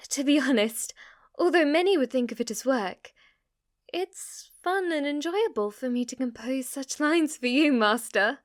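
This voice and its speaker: Woman acting a slow-spoken, obsessively devoted fantasy maid. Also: sits high, expressive, dry recording, studio quality. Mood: eager, adoring.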